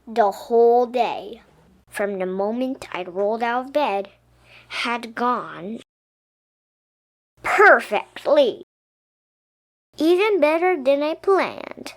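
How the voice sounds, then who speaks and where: Slow, higher-voiced woman reading a storybook. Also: expressive. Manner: dramatic, with emphatic pauses. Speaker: picture book reader. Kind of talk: expressive children's storybook narration. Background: music.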